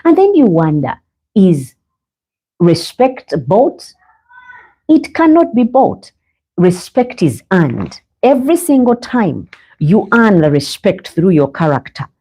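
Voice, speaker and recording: A woman's voice: mid-range voice, very animated, slow, warm tone, breathy edge. Ugandan woman hosting a faith podcast. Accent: Ugandan English. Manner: earnest, preachy, addresses viewers as guys. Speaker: podcast host. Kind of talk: live faith podcast monologue. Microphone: own microphone, sometimes adjusted.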